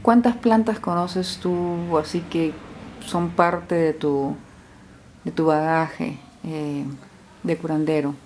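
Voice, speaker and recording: A woman interviewer asking long, leading questions in an unhurried way. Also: low-pitched, steady tone, darkish tone, a little hiss or hum, poor audio.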